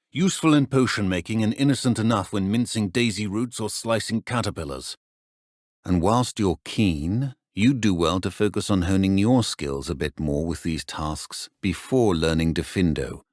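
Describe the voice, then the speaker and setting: An actor playing a stern, middle-aged wizard professor in a video game, speaking formal British English in a measured, dry manner. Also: male voice, fairly low pitch, no room sound. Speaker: professor character in a fantasy game. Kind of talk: scripted video game character dialogue.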